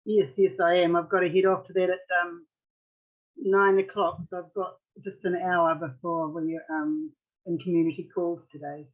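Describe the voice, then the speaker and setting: A woman's voice: on the low side, level tone, normal speed. A woman with a New Zealand accent chatting casually as a participant on an online call, with lo-fi sound. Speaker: webinar participant. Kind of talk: casual chat. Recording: dull, lo-fi call audio.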